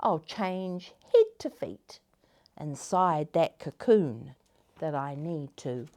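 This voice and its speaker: A woman voicing a lazy, grumbling male caterpillar from a children's storybook, with drawn-out, theatrical complaining. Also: low-pitched, animated, warm tone, full character voice. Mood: grumbling, wistful.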